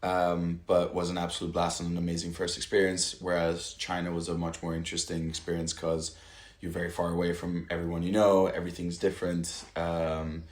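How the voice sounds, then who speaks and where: A man from Italy with a very deep voice and an even, level delivery talks casually about stunt work. He hesitates often and restarts his sentences. The sound is echoey, as if recorded in a bare room at home. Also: fairly quick. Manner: hesitant, lots of fillers and restarts. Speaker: stunt performer, podcast guest. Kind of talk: casual interview chat.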